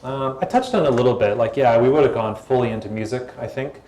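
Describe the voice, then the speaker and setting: A man answering audience questions at a startup event in a calm, relaxed, conversational way, on a mic in a hall. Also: medium pitch, quick pace. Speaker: guest speaker, former social media executive. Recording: echoey event hall with faint room noise.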